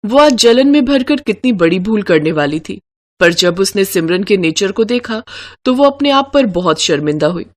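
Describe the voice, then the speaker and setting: A woman's voice: medium pitch, animated, normal speed. A woman storyteller reading scripted moral story narration.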